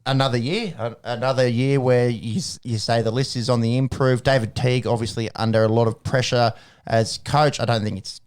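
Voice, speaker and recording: A man's voice: mid-range voice, steady tone, fairly quick, a little mellow, smooth. A man co-hosting a casual sports podcast, rambling with restarts and jokes. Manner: rambling, restarts, jokey, laughs often. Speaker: podcast co-host.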